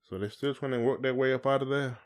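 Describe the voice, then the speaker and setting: A man's voice: mid-range voice, fairly even intonation, fast. An American man co-hosting a podcast in casual chat, with informal, rambling, colloquial phrasing. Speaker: podcast co-host.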